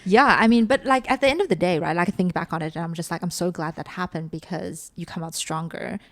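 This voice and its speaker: Podcast co-host: young woman from South Africa, casual, talkative, slightly fry-laden delivery. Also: fairly low pitch, fast, dry recording. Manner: chatty, filler-heavy, ends points with "right?". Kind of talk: casual chat.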